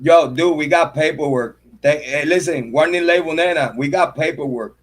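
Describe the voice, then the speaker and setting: Fired-up, high-voiced male streamer ranting. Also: normal speed. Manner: heated, profane and repetitive, calling out a rival; shouts out and thanks viewers by name. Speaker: true crime channel host. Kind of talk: livestream commentary rant.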